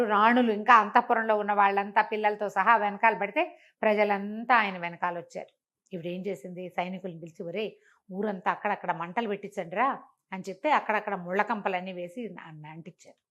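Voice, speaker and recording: An older woman recounts a moral folk tale in an interview setting. She slips into the characters' lines as she tells it, in a voice of ordinary pitch. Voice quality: animated, acts out the characters' lines within the telling. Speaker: storyteller.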